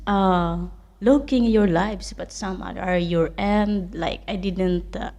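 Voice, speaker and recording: A woman with a Filipino accent whose first language is Tagalog. She chats as a guest on a livestream in halting English and laughs often. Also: lowish voice, a little mellow, soft background music. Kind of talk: casual livestream chat.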